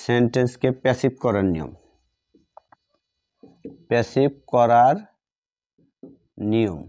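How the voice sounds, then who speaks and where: Male teacher, unhurried and mellow, mid-pitched, repeating short fragments as if dictating to a class. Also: animated. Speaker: English grammar teacher. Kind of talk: step-by-step lesson, dictating while writing.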